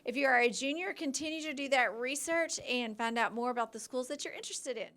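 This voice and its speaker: Woman, higher-pitched voice, school counselor, encouraging, gives direct advice, school news segment. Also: fast talker, fairly bright tone.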